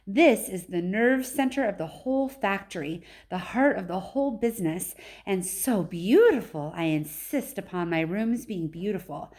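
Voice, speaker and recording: A woman's voice: medium pitch, lively intonation, moderate pace, hardly any pauses, a little mellow, close to an everyday voice. A woman reading a storybook voices an eccentric, boastful male factory owner, exclaiming emphatically and repeating phrases. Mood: proud, cautioning.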